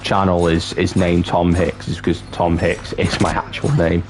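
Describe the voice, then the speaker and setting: Low-voiced young man chatting on stream. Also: monotone. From North Wales. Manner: chatty, halting, with many restarts. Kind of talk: casual livestream chat. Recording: game music clearly behind the voice. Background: game music.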